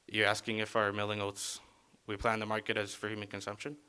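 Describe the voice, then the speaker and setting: A man's voice: fairly low pitch, monotone, a little fast, bright, forceful tone, clear, resonant tone. A young man, a college student, wrapping up a class presentation and answering audience questions, repeating each question back with a few hesitant fillers.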